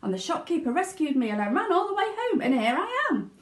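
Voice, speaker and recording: A woman voicing an excited young boy in a children's storybook, gushing and exclaiming. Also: extremely high pitch, brisk, pitched above the performer's own voice. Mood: thrilled. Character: excited young boy bursting with breathless joy. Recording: roomy indoor echo.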